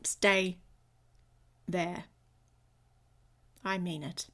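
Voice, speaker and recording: A woman playing a hot-tempered, protective she-wolf in an intimate ASMR roleplay, with a husky, breathy voice and a blunt, sardonic manner. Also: on the low side, steady tone, drawn-out words, fairly bright tone. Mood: agitated, snapping. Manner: sardonic, blunt, speaking straight to the listener.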